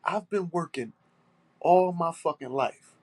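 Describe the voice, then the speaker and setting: A man's voice: fairly high pitch, measured pace, dry recording. A man with a stuffy nose, hosting a solo podcast and talking in an aggrieved, emphatic way, repeating his points and swearing. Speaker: podcast host.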